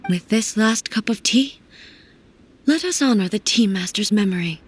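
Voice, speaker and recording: Solemn warrior ruler played by a woman, formal and measured. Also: medium pitch, expressive, slight background noise, natural voice. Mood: solemn, composed. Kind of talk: video game voice acting.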